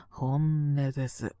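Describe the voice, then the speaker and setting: A man's voice: on the high side, no room sound, studio quality. A man playing a sick, sleepy young boyfriend in an audio drama, grumbling casually in a murmur, exasperated yet fond. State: coughing, acting sick with a cold. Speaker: voice actor. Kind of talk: Japanese situation voice drama aimed at listeners.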